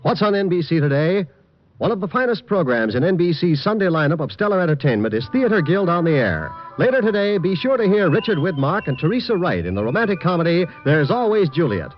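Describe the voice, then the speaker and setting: A man announcing in the scripted style of mid-century American network radio. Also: high voice. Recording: dull vintage radio broadcast, faint music.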